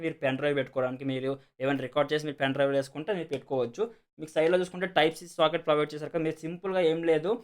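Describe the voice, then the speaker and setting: A man with a Telugu accent casually explaining and demonstrating a product as a video host, repeating himself and mixing in many English words. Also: fairly high pitch. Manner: repetitive, peppers speech with English words.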